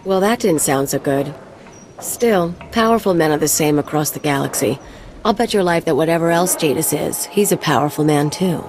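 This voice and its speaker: An actress playing a wry, irreverent alien sidekick in a video game, casual and sardonic. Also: female voice, deep voice, normal speed, a little mellow, slight background noise.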